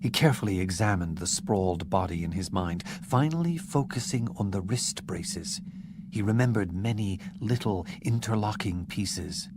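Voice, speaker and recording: Deep-voiced male audiobook narrator. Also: animated, normal speed, background noise. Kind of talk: scripted narration. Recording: narration with background music.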